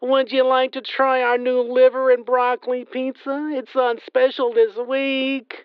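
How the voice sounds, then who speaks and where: A woman playing a slow, chirpy pizza clerk. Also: high voice, level tone, clear, resonant tone, no room sound, highs cut off. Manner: chirpy upselling, flustered. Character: young female pizza clerk, new and eager. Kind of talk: children's comedy skit.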